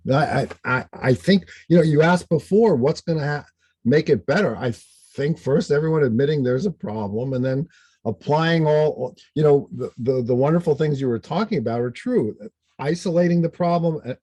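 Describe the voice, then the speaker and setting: An older man with a somewhat raised pitch and a soft, mellow tone. He speaks emphatically and with firm opinions in a casual interview. The sound is very dry, with hardly any room in it. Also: lively intonation, moderate pace, husky, no echo. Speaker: podcast guest, astrologer and author.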